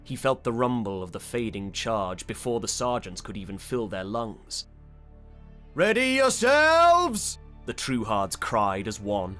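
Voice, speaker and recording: Man, scripted fantasy narration, fairly low pitch, measured dramatic delivery, soft music behind. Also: fairly even intonation. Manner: measured, deliberate, intense. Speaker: audiobook narrator.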